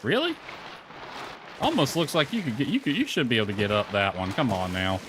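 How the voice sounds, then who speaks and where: A man's voice: mid-range voice, expressive, brisk, noisy background. A man giving casual gameplay commentary, muttering to himself in a laid-back way and reading bits of game dialogue aloud. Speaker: gaming video creator. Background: soft lo-fi music.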